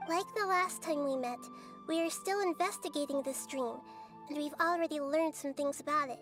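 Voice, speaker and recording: A woman voicing a small, childlike girl god who speaks calmly and gently. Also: high voice, normal speed, over music, lightly acted. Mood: gentle. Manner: calm, soft-spoken and apologetic.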